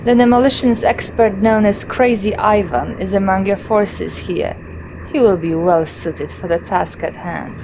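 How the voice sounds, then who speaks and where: An actress playing a loyal young Soviet intelligence officer giving video game mission briefings in a crisp, confiding military manner. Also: female voice, mid-range voice, a little slow, noisy background, close to an everyday voice. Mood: confident, wry.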